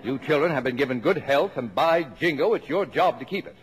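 A noisy vintage radio broadcast carries a man playing a middle-aged American family man. He is brash and stubborn, full of jovial bluster, and his pitch jumps around with every emphatic phrase. The delivery is classic 1950s radio acting. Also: lively intonation, a little fast, a faint crowd, natural voice. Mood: stern.

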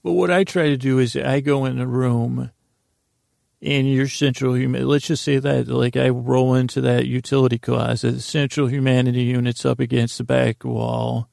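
Gravelly, monotone man rambling at bedtime. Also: medium pitch, no echo, clean studio sound. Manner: meandering, halting, goofy and self-deprecating. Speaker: podcast host. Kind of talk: rambling bedtime story monologue.

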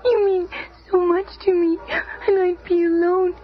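A woman's voice: extremely high pitch, flat delivery, unhurried. An actress playing a sweet, fretful young girlfriend in a radio drama, pleading and dependent. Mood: worried, pleading. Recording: muffled old radio recording with music.

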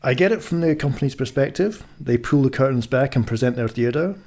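Gravelly, mellow man asking questions. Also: medium pitch, animated, fairly quick. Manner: inquisitive, thinks aloud in questions. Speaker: podcast host. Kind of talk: conversational podcast questioning and recapping.

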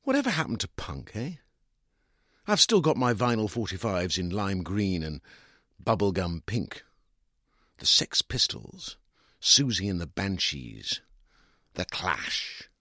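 A man's voice: low voice, sing-song, slow, long pauses, pushed and bright, no echo, clean studio sound, heavily acted. A man reading a short story as a smug, condescending middle-aged divorced lawyer with an English accent, argumentative and self-justifying. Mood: self-satisfied. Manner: opinionated, condescending, emphatic. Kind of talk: dramatised first-person short story reading.

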